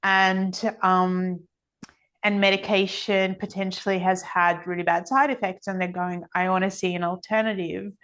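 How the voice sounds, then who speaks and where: A woman's voice: mid-range voice, level tone, normal speed, fairly bright tone, smooth. A middle-aged woman giving reflective, conversational interview answers as a podcast guest, often acting out other people's thoughts as quotes. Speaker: podcast guest, energy healing author.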